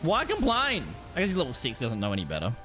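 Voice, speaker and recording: Animated man live-streaming a game. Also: a little high, fast, faint music behind. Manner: rambling, blunt, sweary, slangy. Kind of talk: casual gaming commentary and chat with viewers.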